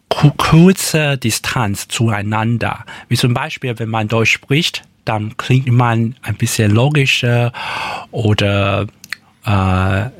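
A young man from Taiwan speaking German as a second language with a Taiwanese accent in a radio interview, pausing often to search for words. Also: fairly low pitch, no room sound. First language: Taiwanese Hokkien and Mandarin. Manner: halting, many hesitations, searching for words. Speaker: interview guest.

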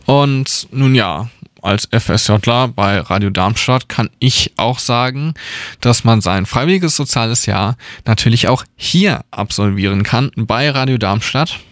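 Slow-talking young radio host. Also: male voice, medium pitch, a bit bright, no room sound, studio quality. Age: 20s. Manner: upbeat, friendly presenter. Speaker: radio volunteer and interviewer. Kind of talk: radio presenting and interviewing.

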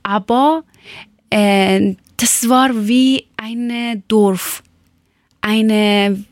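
Slow, hesitant woman searching for words. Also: a little high, fairly even intonation, no echo, studio quality. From Iran. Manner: hesitant, searching for words, many fillers and restarts. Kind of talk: casual personal account.

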